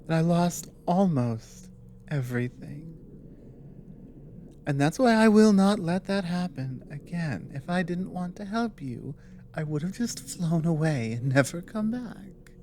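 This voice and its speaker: A woman playing an earnest, resolute female dragon ally in an ASMR roleplay, speaking in halting, intimate phrases with pauses. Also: lowish voice, lively intonation, warm tone, slight background noise. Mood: firm, regretful.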